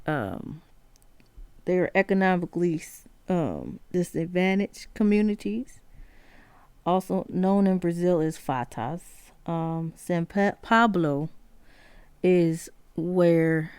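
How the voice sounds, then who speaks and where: A woman hosting a solo music history podcast, talking haltingly with many pauses, fillers and self-corrections. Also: lowish voice, lively intonation, a little mellow, clean tone, no room sound. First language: not Spanish. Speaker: podcast host.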